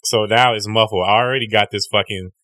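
Deep-voiced rambling young man. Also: steady tone, brisk, bright, forceful tone, no echo. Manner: rambling, profane, restarts often, acts out quotes in a high voice. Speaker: comedian and podcast host. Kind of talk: casual storytelling chat.

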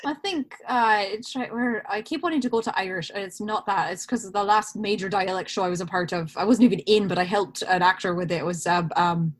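A woman actor talking casually as an interview guest, animated and given to slipping into dialect voices. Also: medium pitch, brisk, hardly any pauses.

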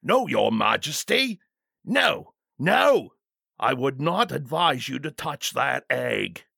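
Man doing a gravelly, cautious royal bodyguard. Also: mid-range voice, lively intonation, slow pace, fairly bright tone, dry recording, studio quality, exaggerated voice. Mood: alarmed. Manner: grave, formal, warning. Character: burly, cautious, deferential armored royal bodyguard.

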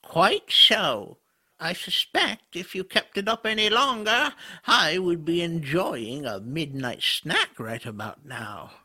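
Pompous, high, very sharp-voiced creature. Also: male voice, expressive, slightly rough, heavily acted. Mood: droll, smug. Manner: pompous, formal, drawn-out. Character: pompous, droll male creature guarding a magical pond. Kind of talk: audio drama acting.

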